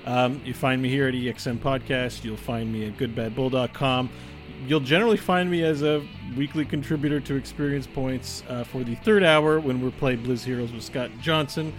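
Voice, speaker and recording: A man of medium pitch co-hosts a relaxed podcast and drops dry, joking asides. Faint music plays in the background. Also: moderate pace. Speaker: podcast co-host. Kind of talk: casual podcast banter.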